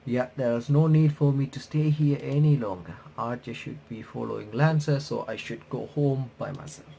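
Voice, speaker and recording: A man's voice: medium pitch, fairly even intonation, normal speed, creaky, mellow, slight background noise, rough recording. A man doing a game playthrough, reading on-screen text aloud with casual commentary, often stumbling and correcting himself. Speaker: host of a game playthrough and reaction channel.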